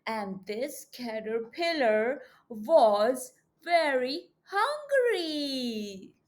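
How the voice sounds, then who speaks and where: Lady teacher doing storytime for little kids, really high voice, super slow, coaxing and asking them questions. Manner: expressive, coaxing, addressing young children. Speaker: children's read-aloud teacher. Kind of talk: storybook read-aloud with questions to children.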